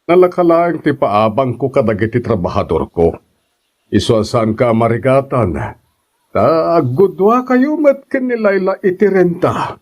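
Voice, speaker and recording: An actor as an encouraging boss and landlord in a radio-style drama. He is a man with an Ilocano Filipino accent, a middling pitch and very lively intonation. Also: measured pace. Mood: welcoming. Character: kindly, encouraging male boss and boarding-house landlord.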